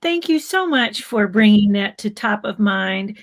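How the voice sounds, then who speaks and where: A woman's voice: mid-range voice, animated, measured pace. A woman moderating a panel discussion, upbeat and encouraging as she draws the guests out.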